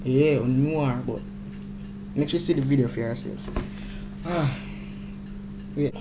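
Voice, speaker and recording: A man's voice: medium pitch, moderate pace. A young man with a Jamaican accent hosting a casual prank vlog, talking in slangy, repetitive exclamations and pleas. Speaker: prank video host. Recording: muffled, noisy home-video audio.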